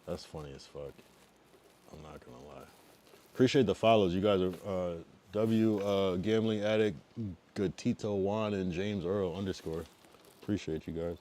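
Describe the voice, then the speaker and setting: Laid-back low-voiced streamer man. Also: moderate pace, a little hiss or hum. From East Coast US, Massachusetts and Connecticut. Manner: laid-back, drifts loud then mumbly. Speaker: livestreamer. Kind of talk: casual livestream chat with viewers.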